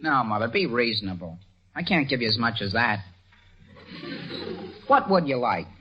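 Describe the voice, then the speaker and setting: A man's voice: mid-range voice, sing-song, moderate pace, natural voice. A man playing an eager, pleading teenage son with a youthful, cracking voice in a 1940s radio comedy. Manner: earnest, wheedling, indignant when doubted. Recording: dull old radio broadcast recording.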